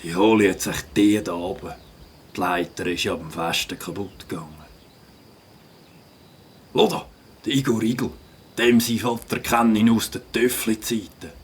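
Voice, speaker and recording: A man playing a rowdy, bossy grown-up biker animal in a Swiss German children's audio drama, with a raspy voice and slangy talk. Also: on the low side, normal speed, pauses often, a little hiss or hum, natural voice. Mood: grumbling. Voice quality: raspy, gravelly.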